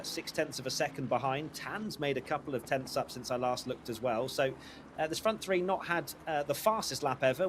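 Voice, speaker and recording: A man commentating a live sim race in a brisk, upbeat way. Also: medium pitch, background noise. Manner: brisk, upbeat, laughs easily.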